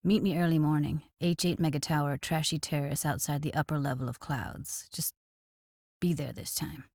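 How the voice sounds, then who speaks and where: A woman's voice: sits low, fairly even intonation, moderate pace, darkish tone, no echo, studio quality. An actress voicing a tough, guarded young woman techie in a cyberpunk video game, speaking in casual, clipped slang with a wry edge. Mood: matter-of-fact. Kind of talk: naturalistic video game dialogue, isolated voice lines.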